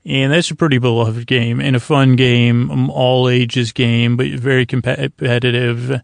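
Gravelly man, rambling sleep podcast host. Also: medium pitch, little pitch movement, no echo. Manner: halting and digressive, trailing off and circling back. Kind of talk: rambling, meandering bedtime monologue.

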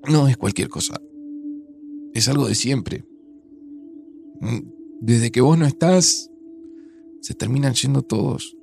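A man's voice: mid-range voice, slow, vocal fry, pauses often, a little mellow, soft background music. A man playing a melancholy, grieving man in a naturalistic audio drama, with an Argentine accent and a hesitant, introspective way of talking. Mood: anguished, wistful. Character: melancholy, grieving, dramatic man, older than his friend.

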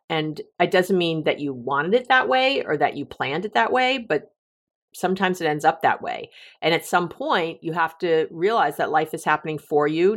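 A middle-aged woman with a fairly low voice hosts a solo relationship advice podcast. She talks in a loose, chatty way, laughs at her own jokes and often restarts her sentences. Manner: chatty, joking, laughs at herself, frequent restarts. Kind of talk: casual solo podcast talk.

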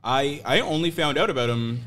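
Bright, quick man chiming in casually. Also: medium pitch, lively intonation. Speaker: podcast guest or co-host. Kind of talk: casual chat, brief interjections.

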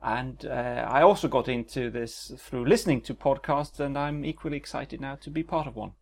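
Gravelly Swedish man. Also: mid-range voice, normal speed, darkish tone. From Sweden. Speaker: podcast co-host. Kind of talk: casual podcast chat.

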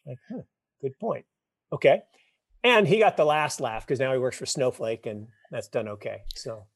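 A man in his fifties, a podcast guest, telling wry stories and explaining ideas with emphatic, animated delivery. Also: mid-range voice, moderate pace, no room sound, clean studio sound. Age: 50s. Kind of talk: casual interview talk.

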